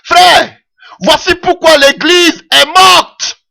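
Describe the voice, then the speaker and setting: A man's voice: very high-pitched, fairly even intonation, drawn-out words, vocal fry, bright, forceful tone. A man preaching a sermon in French that is not his mother tongue, impassioned and punctuating his points with "Alléluia".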